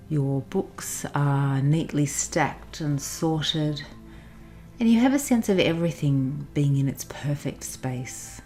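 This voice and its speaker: A woman narrating a guided meditation in a soothing, instructional manner with pauses. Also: deep voice. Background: soft background music.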